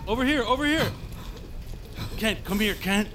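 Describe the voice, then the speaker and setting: An actor playing a taunting, menacing thug, calling out in an audio drama. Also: male voice, sits high, normal speed, a bit bright, slight background noise.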